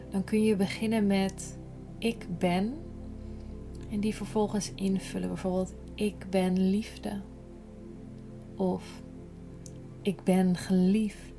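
A woman guiding a yoga nidra relaxation in a gentle, soothing, unhurried manner, breathy at times. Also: mid-range voice, level tone, faint music behind. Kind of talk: slow guided relaxation meditation.

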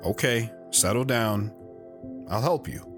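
A man's voice: fairly low pitch, lively intonation, slow, faint music behind. A man playing an earnest, guilt-ridden soldier, narrating his hard life in a sober, plain-spoken way for an audio drama. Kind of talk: dramatic first-person narration.

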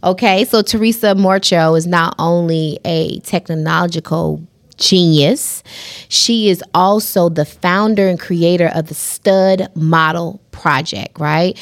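A woman's voice: fairly low pitch, unhurried. A woman hosting a podcast, introducing her guest with enthusiasm and backing her up with frequent murmurs of agreement. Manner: enthusiastic, affirming with frequent mm-hmms. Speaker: podcast host. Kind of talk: casual interview hosting.

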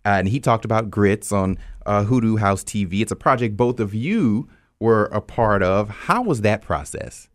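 Male podcast host, low register, varied intonation, casual interviewing style. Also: expressive, normal speed.

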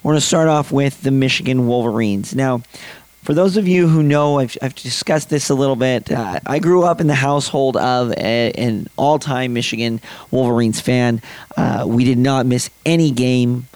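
A young man in his twenties hosting a casual sports podcast, his voice a little raspy from late nights, rambling with many fillers and restarts. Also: medium pitch, normal speed, darkish tone, no echo. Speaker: podcast host.

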